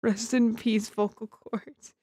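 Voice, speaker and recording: A woman's voice: on the high side, little pitch movement, slow, mellow, no room sound. A woman chatting casually on a game livestream, giggly, rambling and self-deprecating, speaking close to the microphone. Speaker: livestreamer, amateur voice actor. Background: music.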